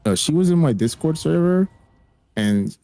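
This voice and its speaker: A man's voice: on the high side, animated, normal speed, mellow, dry recording, faint music behind. A young man, a native Spanish speaker, chatting casually as a gaming streamer in a blunt, slangy way and swearing freely. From raised by a Spanish-speaking family, living in the US.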